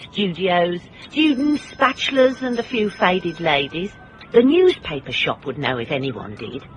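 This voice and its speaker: An actress playing a tough, determined private investigator in a radio drama, dry and wry. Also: female voice, medium pitch, fairly bright tone, slight background noise, rough recording. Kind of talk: radio drama acting with first-person narration.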